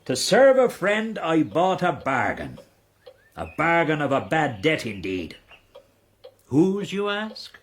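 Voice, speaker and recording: An actor playing a roguish, fawning Irish knight and debt-dodging fixer in a radio period drama, speaking with an Irish accent and theatrical flourishes. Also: male voice, on the high side, wildly swooping pitch, slow, a little hiss or hum, exaggerated voice. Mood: blarneying, boastful. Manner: theatrical, ingratiating, rhetorical flourishes.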